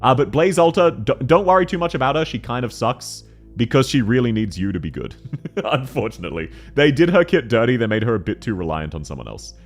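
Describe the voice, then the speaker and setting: A young man with a deep voice streaming a game and chatting with viewers, excitable and slangy, laughing often and quick to correct himself. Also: soft background music. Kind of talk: casual live-stream chat, answering viewer questions.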